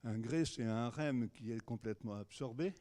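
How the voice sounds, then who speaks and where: A man moderating a conference, introducing the next speaker in hesitant, halting speech. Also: mid-range voice, warm tone. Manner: hesitant, many fillers and restarts. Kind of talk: introducing a lecture.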